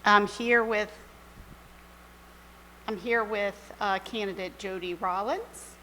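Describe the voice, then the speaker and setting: A woman moderating a candidate forum, reading questions in a measured way with fillers. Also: mid-range voice, fairly even intonation, bright, forceful tone, in a large hall, slight background noise, rough recording.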